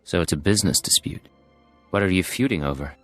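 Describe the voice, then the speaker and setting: An actor playing a cool secret agent in a video game, terse and controlled. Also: male voice, deep voice, fairly quick, faint music behind, close to an everyday voice. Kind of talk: video game character dialogue.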